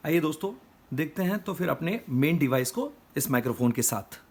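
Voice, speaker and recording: A man's voice: a little high, lively intonation, fairly quick, a little mellow. A man giving an explanatory product demonstration, addressing viewers as friends. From India. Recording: clear background noise and ambience.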